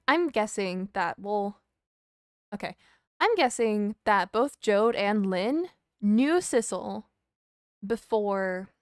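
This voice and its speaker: A woman's voice: medium pitch, no echo, clean studio sound. A woman live streamer chatting casually over a game, rambling and hesitant, swearing now and then. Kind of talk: casual chat, game playthrough commentary. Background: game music.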